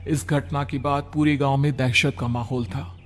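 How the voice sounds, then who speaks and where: A man narrating an animated horror story in a dramatic, storytelling manner. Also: medium pitch, normal speed, faint music behind.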